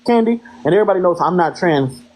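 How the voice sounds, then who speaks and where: A woman with a deep voice, a live-stream guest talking bluntly and indignantly, with plenty of profanity. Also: animated, fast talker, darkish tone, rough recording. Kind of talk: heated live-stream talk.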